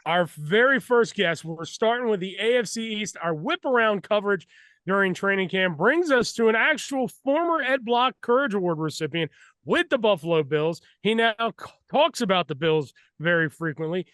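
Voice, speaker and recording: A man hosts a sports talk show and leads the interview. His voice is on the higher side for a man, and it sounds dry, with hardly any room around it. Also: animated, normal speed, a bit bright, no echo. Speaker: show host.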